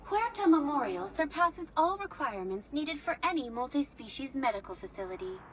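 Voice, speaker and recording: A high-pitched female hospital receptionist from a video game, with a lively, swooping melody. She is polite and efficient, laying out procedures in formal, precise phrasing. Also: moderate pace, a little hiss or hum. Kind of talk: scripted informational game dialogue.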